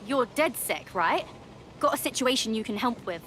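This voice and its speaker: A young British woman, a blunt street-level rebel in a video game. Her voice is fairly high and her speech colloquial, with faint traffic and ambience behind. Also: animated, normal speed, fairly bright tone. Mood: worried. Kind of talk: video game cutscene acting.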